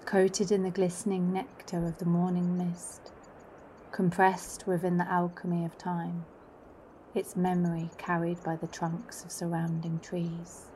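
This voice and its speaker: Slow, low-voiced woman guiding a meditation. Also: monotone, slight background noise, rough recording. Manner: hushed, slow, solemn. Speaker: narrator of a guided sound journey. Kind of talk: meditative poetic scripted narration.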